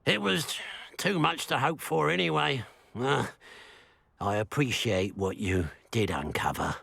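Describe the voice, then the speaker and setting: An actor playing a bitter, suspicious village shopkeeper in a video game, grumbling with dramatic sarcasm. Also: male voice, medium pitch, unhurried, vocal fry, pushed and bright, dry recording, studio quality, heavily acted. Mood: resigned. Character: bitter, suspicious village shopkeeper man, resentful of his sister.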